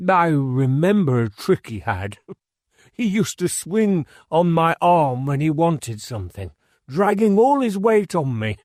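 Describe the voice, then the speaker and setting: Man voicing an animated, higher-pitched reminiscing man. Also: unhurried, dry recording, studio quality. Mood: fond, amused. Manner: animated, storytelling. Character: grown man fondly reminiscing about farm boyhood.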